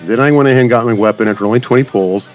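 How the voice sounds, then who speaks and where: A man's voice: mid-range voice, racing. A man giving casual, rambling gameplay commentary, talking directly to viewers as a channel host. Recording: background game music.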